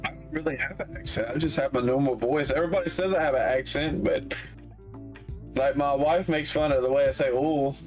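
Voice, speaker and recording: A relaxed young man with a Southern country accent answers interview questions in an easygoing, wry tone. His voice sits in a middle pitch. Faint music plays underneath. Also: fairly quick. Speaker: guest, streamer and truck driver. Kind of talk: casual chat.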